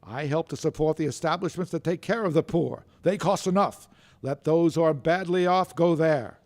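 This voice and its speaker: A man's voice: fairly high pitch, moderate pace, darkish tone, natural voice. An actor playing a grumpy, miserly older businessman, curt and scornful, in an old-time radio drama style. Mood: cold, dismissive.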